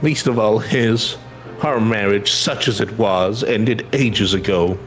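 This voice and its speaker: A man's voice: on the low side, slow, soft background music. Man voicing a guarded, proud widowed mother. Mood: bitter. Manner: blunt, guarded, colloquial. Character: bitter, proud widowed mother of a young girl. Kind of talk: reading video game dialogue in character.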